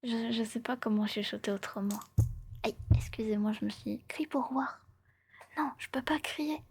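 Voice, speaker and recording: Soft high-voiced young woman, often whispering. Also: creaky, slightly rough. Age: 20s. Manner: playful, chatty, repeats phrases. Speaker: live streamer. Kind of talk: casual livestream chat, often whispered ASMR.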